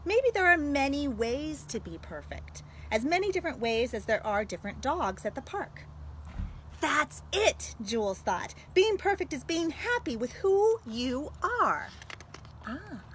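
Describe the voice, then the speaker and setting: An American woman, a school librarian, reading a picture book aloud to young children in an expressive way. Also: high-pitched, measured pace. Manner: animated, expressive delivery aimed at young children. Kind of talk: read-aloud storytelling for children. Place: at home. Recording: noisy home video with background sounds.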